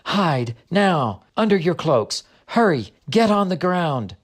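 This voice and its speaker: A man plays a watchful female guide in a storybook tale. She is protective and commanding, speaking in urgent, hushed tones, pitched up high. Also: very animated, slow, full character voice. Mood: urgent alarm. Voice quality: hushed, whispery.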